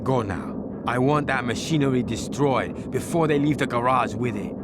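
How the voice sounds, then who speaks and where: Male actor as menacing gang boss, mid-pitched, formal, commanding, measured, heavy ambient noise. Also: close to an everyday voice. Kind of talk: dramatic video game cutscene acting. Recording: loud ambient and vehicle noise.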